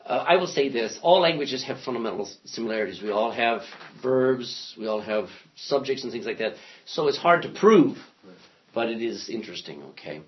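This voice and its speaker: A man's voice: mid-range voice, big pitch swings, moderate pace, highs cut off. A man teaching a Bible class in a classroom, joking and thinking aloud as he lectures.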